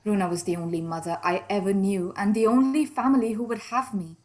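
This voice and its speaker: A woman's voice: mid-range voice, fairly even intonation, a little fast, darkish tone, natural voice. A woman playing a wistful, reserved young Indian woman far from home in a period radio mystery, polite and guarded. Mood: wistful, open.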